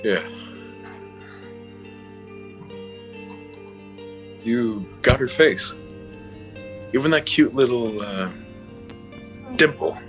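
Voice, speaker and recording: A man's voice: medium pitch, animated, takes it slowly, music in the background. A man playing a brash, over-familiar middle-aged tough guy in drama dialogue. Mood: leering.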